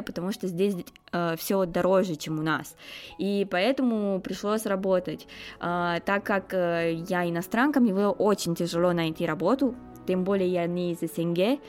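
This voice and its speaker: A woman in her twenties with a Romanian accent answers interview questions conversationally, hesitantly searching for words as a non-native speaker. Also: on the low side. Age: 20s. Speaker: interview guest, foreign student.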